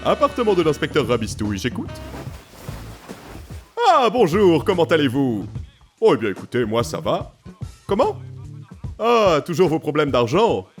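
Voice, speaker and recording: A man's voice: on the high side, sing-song, moderate pace, pauses often, faint music behind. A man playing a haughty, cheeky manservant, fussy and mock-deferential, in a comic French audio drama. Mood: chatty. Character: haughty, cheeky, put-upon male manservant.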